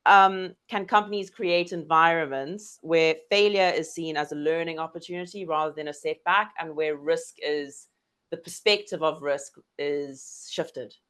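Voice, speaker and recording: A woman interviewer with a South African accent, asking measured, friendly questions. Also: sits low, fairly bright tone, clean tone.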